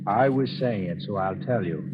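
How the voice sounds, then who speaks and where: Guy playing calm unflinching radio drama hero, measured delivery, really noisy muffled old recording. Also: lowish voice, close to an everyday voice. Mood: calmly accusing. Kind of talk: 1940s radio drama acting.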